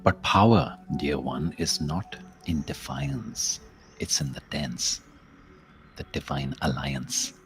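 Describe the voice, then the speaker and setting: A man leading a guided meditation as host, speaking in a measured, contemplative way with long pauses and softly trailing phrases. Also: very deep voice, animated, soft background music, rough recording. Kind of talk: slow guided meditation, spoken-word reflection with verse.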